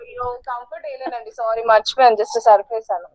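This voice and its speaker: Young female on the phone, muffled lo-fi audio. Slightly high voice, casual, code-mixing English. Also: steady tone, fast talker, darkish tone, no room sound. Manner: mixes English words into speech. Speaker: person receiving a phone call. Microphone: phone call. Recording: dull, lo-fi phone call audio.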